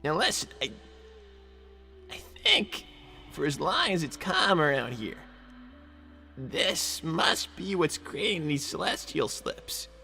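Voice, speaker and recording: Man voicing an excitable, high-pitched young fox sidekick. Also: slow, creaky, long pauses, exaggerated voice. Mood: puzzled. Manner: stammering, rambling, excitable. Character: excitable young male fox, earnest and chatty inventor sidekick. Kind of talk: improvised in-character livestream role-play. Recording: long reverb, music behind. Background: music.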